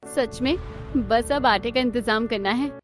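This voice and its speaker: A woman's voice: a little high, unhurried, soft background music, close to an everyday voice. A woman playing a polite, determined young daughter-in-law in a cartoon story, sincere in manner. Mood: hopeful.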